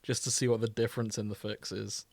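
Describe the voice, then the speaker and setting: A man's voice: on the low side, level tone, quick pace, vocal fry, no room sound. Wry man podcaster, dry close sound. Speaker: podcast co-host. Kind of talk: casual chat.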